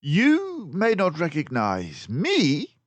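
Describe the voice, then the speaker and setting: A man voicing a stern, calm mouse detective with a formal, declamatory manner in a storybook. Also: a little high, slow, dry recording, voice pushed up, heavily acted.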